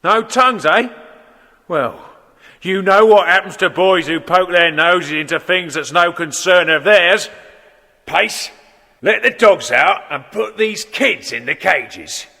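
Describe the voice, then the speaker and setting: An actor playing a menacing crooked gang boss in a children's audio drama, gravelly and sneering as he barks orders. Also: high-pitched, slow pace, pushed and bright, full character voice. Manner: bullying, barking orders, sneering. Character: menacing crooked dog-thief gang boss, adult male. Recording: echoey hall reverb.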